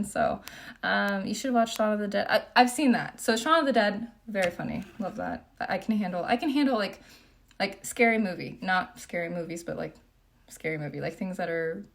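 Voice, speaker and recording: A young woman from Japan hosts a relaxed livestream Q&A at home, doing her makeup. Her voice sits at a medium pitch, and she talks in a loose, chatty way, reading viewers' comments aloud and padding her answers with plenty of "um" and "like.". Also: vocal fry, slight background noise. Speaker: beauty vlogger.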